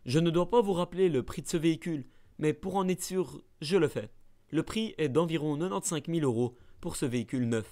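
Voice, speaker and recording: Male narrator, slightly high, playful scripted voice-over. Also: fairly quick, darkish tone. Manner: playful, mock-dramatic asides. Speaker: narrator of a ranking video.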